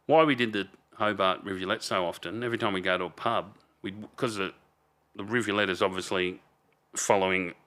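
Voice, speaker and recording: A loud Australian man in his fifties with a fairly low but bright, forceful voice. He tells stories casually and rambles, often trailing off mid-sentence and starting over. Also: a little fast. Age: 50s. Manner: rambling, trails off and restarts a lot. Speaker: podcast guest. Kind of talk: casual storytelling chat.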